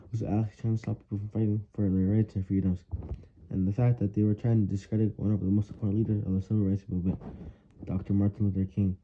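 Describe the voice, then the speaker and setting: Young male student, low voice, earnest read-aloud of written answers with small stumbles, muffled audio with some hiss. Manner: earnest, stumbles over words while reading.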